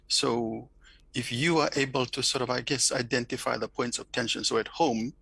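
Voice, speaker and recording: Fijian guy, bright gravelly voice, dry close mic, talks slow and thoughtful. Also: medium pitch, no room sound, rough recording. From Fiji. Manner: thoughtful, many restarts and repeated words. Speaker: interview guest, academic. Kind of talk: reflective interview talk.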